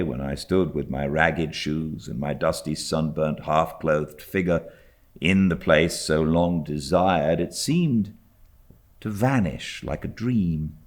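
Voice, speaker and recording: Very low-pitched British man narrating. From Britain. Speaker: audiobook narrator. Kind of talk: scripted literary narration.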